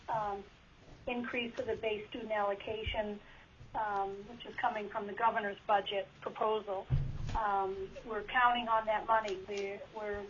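A woman's voice: mid-range voice, a bit bright. A woman school board member giving testimony at a legislative hearing, plain and hesitant with many ums. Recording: lo-fi teleconference line with faint hiss.

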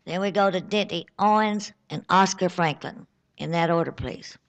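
A woman chairing a public hearing, calling speakers in a brisk, firm, procedural way. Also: fairly low pitch. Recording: dull lo-fi hearing recording.

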